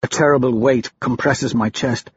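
A man's voice: mid-range voice, fairly even intonation, normal speed, husky, dull audio. A man reading a dramatic first-person story as an audiobook narrator, grave and brooding in his delivery.

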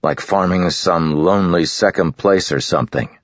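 A man's voice: sits low, moderate pace, no echo, pitched above the performer's own voice. A man reading aloud, voicing a scowling, sullen boy with a sour, grumbling way of talking. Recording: dull lo-fi recording.

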